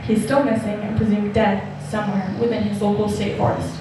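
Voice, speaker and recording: A woman playing a flat, steady news reporter. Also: mid-range voice, brisk, close to an everyday voice. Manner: even, newsreader delivery. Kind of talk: scripted news report in a play. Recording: echoey theater stage from far off, noisy.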